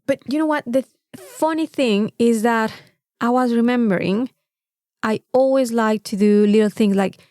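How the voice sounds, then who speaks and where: High-voiced woman chatting casually. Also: moderate pace, dark tone, dry recording, studio quality. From Mexico. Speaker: podcast co-host. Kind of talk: casual chat.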